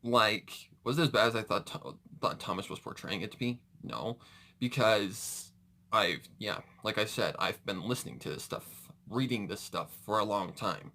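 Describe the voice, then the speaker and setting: A man with a fairly low, bright and forceful voice hosts a casual reaction video. He talks in a rambling, unscripted way, often doubling back to correct himself and dropping in mild slang exclamations. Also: moderate pace.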